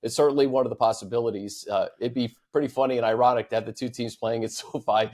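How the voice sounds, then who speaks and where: A man's voice: medium pitch, steady tone, fast, a little mellow. An American man hosting a sports talk show in a casual, easygoing, conversational style. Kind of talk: casual sports talk, reading fan questions.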